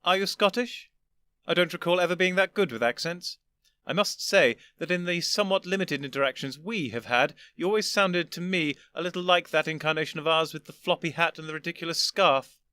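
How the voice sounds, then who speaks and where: Man voicing an elderly gentleman, condescending, lecturing, weary patience, raised bright voice, storybook. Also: a little high, fairly quick, smooth, voice pushed up. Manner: precise, lecturing, wearily patient.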